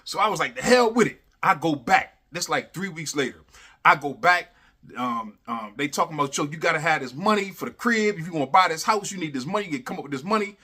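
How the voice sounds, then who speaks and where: A man casually telling a funny personal story in animated, slangy talk, repeating phrases for emphasis and constantly checking in with the listener. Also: on the high side, quick pace, breathy edge. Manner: animated, slangy, repeats phrases for emphasis, often adds "you know what I'm saying". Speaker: storyteller, host of a story series.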